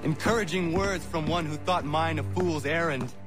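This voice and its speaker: An actor playing a proud, earnest young assassin in a video game, speaking in formal, stiff, measured phrases. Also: male voice, fairly high pitch, close to an everyday voice. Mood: defiant. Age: young adult. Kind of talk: video game cutscene acting. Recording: music behind the voice.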